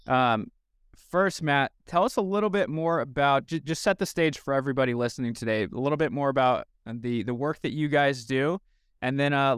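Upbeat male podcast host, smooth dry voice. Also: medium pitch, dry recording. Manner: upbeat, enthusiastic, with fillers and restarts. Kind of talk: casual podcast chat.

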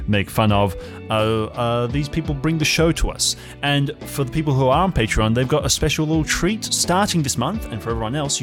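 A man hosting a podcast, reading out casual thanks and announcements. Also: mid-range voice. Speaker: podcast host. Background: guitar music.

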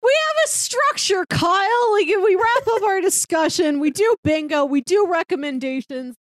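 A woman's voice: very high-pitched, expressive, normal speed, no room sound. A woman co-hosting a podcast, chatting casually about films with her fellow host. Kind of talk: casual film review chat.

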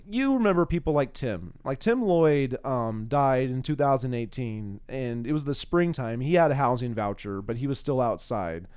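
A man's voice: mid-range voice, animated, moderate pace, hardly any pauses, darkish tone, clear, resonant tone. A man hosting a podcast, chatting informally and laughing easily as he interviews a guest. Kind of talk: casual interview chat.

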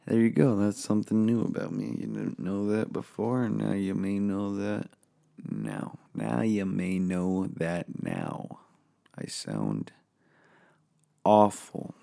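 A man with a hoarse, gravelly, low voice talks alone into his podcast mic from a home office. He drawls slowly through a rambling, self-deprecating monologue, swearing freely. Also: animated, dark tone. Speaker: podcast host. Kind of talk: casual solo podcast monologue.

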